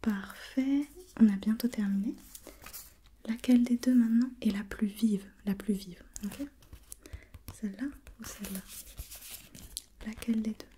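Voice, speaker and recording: A woman speaks in a breathy ASMR whisper, soft and dark in tone, as an examiner in a role play. She guides gently, step by step, repeating short questions. There is faint noise behind her. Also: fairly high pitch, fairly even intonation, a little slow, long pauses. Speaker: examiner in a role-played visual test.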